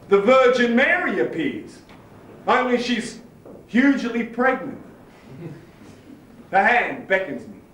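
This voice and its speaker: Mad, haunted seaman, high voice, volatile stage delivery, wide swings, distant theatre recording. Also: a bit bright, exaggerated voice. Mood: mesmerised horror. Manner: dramatic, intense storytelling with sudden outbursts. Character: mad, haunted sailor confessing a childhood near-drowning. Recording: echoey theatre, distant mic, faint noise.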